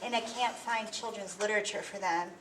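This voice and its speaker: A woman's voice: medium pitch, fairly even intonation, a little fast. A woman in the audience asking an earnest question. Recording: distant, echoey, noisy room.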